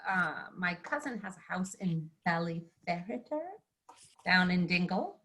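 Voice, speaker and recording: A woman's voice: fairly low pitch, animated, a little slow, sharp tone. A woman chatting on a video call, enthusiastic and rambling, with many restarts. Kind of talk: casual video-call chat.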